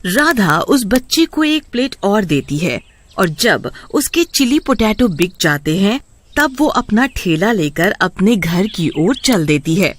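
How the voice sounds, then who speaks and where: A woman's voice: medium pitch, very animated, slow, slight background noise. A woman storyteller reading scripted narration of a tale.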